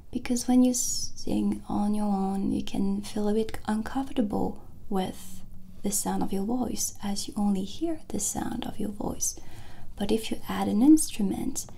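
A woman whispering gently and soothingly in a breathy ASMR roleplay style, close to the microphone. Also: mid-range voice. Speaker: ASMR host playing a witch sound healer. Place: small candlelit indoor studio set.

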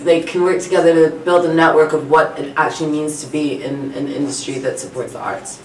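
A woman's voice: sits low, flat delivery, a little fast, reverberant, distant mic, a little hiss or hum, rough recording. A woman in her thirties from Tanzania talking casually and earnestly as an interview guest, explaining her views. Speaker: guest singer-songwriter being interviewed. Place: small radio studio. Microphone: studio microphone on boom arm.

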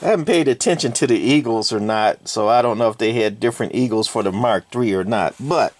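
A man's voice: mid-range voice, a little fast. A man casually walking through vintage radio gear in a workshop, rambling with lots of fillers and hedges. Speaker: radio collector. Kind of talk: casual hands-on walkthrough. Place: cluttered workshop with shelving.

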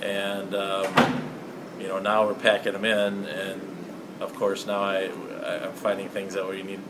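A man in his forties, a high school basketball coach, answering postgame questions in a measured, halting way with many fillers, recorded up close on a phone in a school hallway. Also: completely flat delivery, heavy background noise. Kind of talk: postgame interview.

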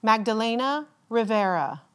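Woman, higher-pitched voice, ceremony announcer, formal name reading, deliberate enunciation. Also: expressive, a bit bright, clear, resonant tone. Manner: measured, deliberate enunciation with pauses. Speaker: commencement announcer reading graduates' names.

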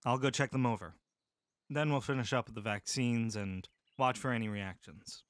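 A man voicing a calm, caring male medic soldier who speaks steadily, seriously and with compassion. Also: mid-range voice, normal speed, dry recording, clean studio sound, natural voice. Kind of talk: full-cast audiobook acting.

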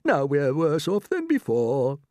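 A grand old male grasshopper from a storybook, acted out by a reader. He is affectionate and very polite, exclaiming in a lifted, animated voice with a dry, close sound. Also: medium pitch, measured pace, dry recording, pitched above the performer's own voice. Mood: dismayed. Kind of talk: storybook character voice.

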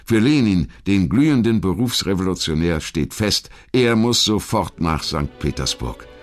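A man reading scripted documentary narration in a measured, dramatic storytelling manner. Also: low-pitched, animated.

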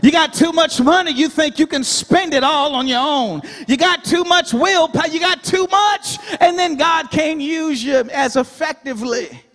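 A man's voice: extremely high pitch, normal speed, hardly any pauses, a bit bright. An American man in his thirties preaching a fervent Baptist sermon with an emphatic, repetitive cadence into a handheld microphone. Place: large church sanctuary, indoors. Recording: reverberant hall, amplified PA.

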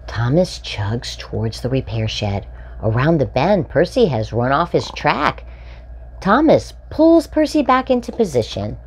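A woman in her forties reading a children's picture book aloud, expressively and with deliberate phrasing for young children. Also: very deep voice, big pitch swings, breathy edge. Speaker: storytime host reading aloud. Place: small furnished room indoors.

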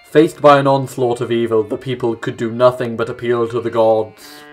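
A man's voice: mid-range voice, level tone, moderate pace. A young man giving casual let's play commentary, reading game text aloud and adding offhand asides. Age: young adult. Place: small room at home, indoors. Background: game music with plucked guitar.

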